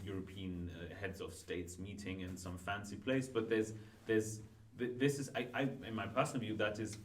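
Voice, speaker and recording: Low-voiced man, roomy distant sound. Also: steady tone, moderate pace. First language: not Dutch. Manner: hesitant, many restarts. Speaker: student guest. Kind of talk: casual podcast chat. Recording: roomy, from far off.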